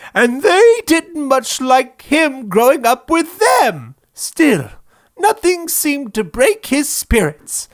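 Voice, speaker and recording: Animated, unhurried comic storyteller. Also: high voice. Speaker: narrator. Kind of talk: scripted comic story narration.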